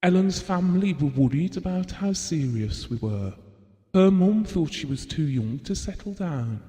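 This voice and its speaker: An actor playing a gentlemanly, possessive husband who looks back wistfully in an audio drama, measured and reflective. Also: male voice, high-pitched, animated. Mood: earnest, defensive. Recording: long reverberant hall sound.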